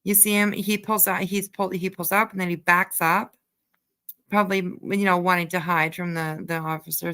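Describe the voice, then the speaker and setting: A woman hosts a casual livestream with a bright, sharp voice. She talks very slowly, rambling along with hesitant pauses, and her pitch sits a little low. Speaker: livestream host. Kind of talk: casual livestream chat and commentary.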